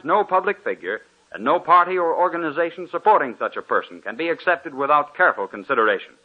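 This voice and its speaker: A man reads a formal, declaiming sponsor message on old-time radio. His pitch moves lively and his voice is husky. The sound is thin and phone-like. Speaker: sponsor announcer. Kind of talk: scripted radio announcement. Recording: tinny old radio broadcast.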